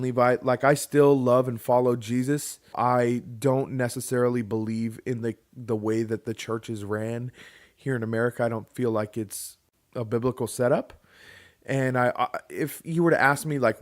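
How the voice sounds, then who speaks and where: Young male co-host, casual chat, rambling, restarts often. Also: medium pitch. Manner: rambling, many restarts and fillers. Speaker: podcast co-host.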